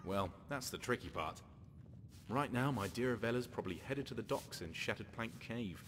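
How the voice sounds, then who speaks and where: Male actor as a game rogue: low, animated, wry scheming slaver-hunter in a reverberant space. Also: moderate pace, slight background noise, natural voice. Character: sly male slaver-hunter rescuing his lover. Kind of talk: game character dialogue.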